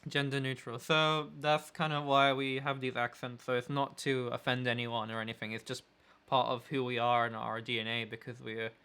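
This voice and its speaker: A young man with a London accent chatting casually with his partner in a relaxed, wordy way, sometimes searching for words. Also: medium pitch, moderate pace, few pauses, smooth. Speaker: photographer and vlogger, co-host.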